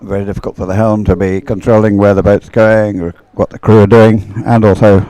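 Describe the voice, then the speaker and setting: Older-style race commentary from a man, low mellow voice, kinda rambly and hesitant, repeats himself. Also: little pitch movement, a little fast, dry recording. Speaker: sports commentator. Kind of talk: live race commentary and off-the-cuff chat.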